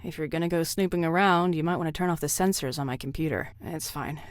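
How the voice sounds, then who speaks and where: An actress voices a practical, guarded woman in a story-driven game. Her voice is on the lower side. She speaks in a measured, understated way that shows quiet care. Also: natural voice. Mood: tender, candid. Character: caring, guarded, practical woman, a loyal close colleague. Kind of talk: dramatic video game dialogue.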